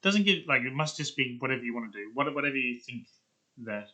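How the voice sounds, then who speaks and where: A man's voice: medium pitch, lively intonation, quick pace, sharp tone. A man hosting a video in a casual, rambling chat, thinking aloud as he goes. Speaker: video host.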